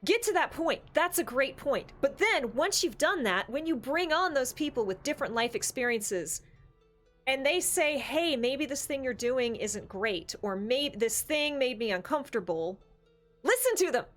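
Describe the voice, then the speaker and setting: A woman's voice: high voice, lively intonation, normal speed, a bit bright, faint music behind. A woman co-hosting a talk show, speaking casually and emphatically as she argues her opinions. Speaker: podcast co-host. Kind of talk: opinionated discussion, casual chat.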